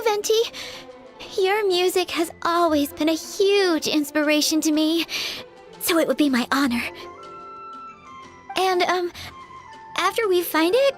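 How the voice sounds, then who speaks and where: High-pitched, shy young female bard. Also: a bit bright. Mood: shy, nervous. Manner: hesitant, apologetic, stammering, earnest. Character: shy, earnest, nervous young woman, aspiring bard. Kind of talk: video game character acting. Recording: music behind the voice.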